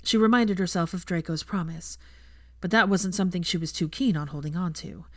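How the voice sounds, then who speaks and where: A woman's voice: on the low side, a little fast, dry recording, clean studio sound. A woman narrating scripted fiction as an audiobook reader.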